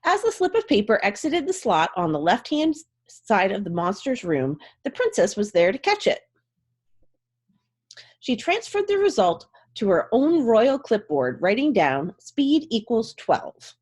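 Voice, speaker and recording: A woman's voice: medium pitch, a little slow. A woman giving a dramatic, theatrical storybook reading as narrator. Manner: theatrical, expressive.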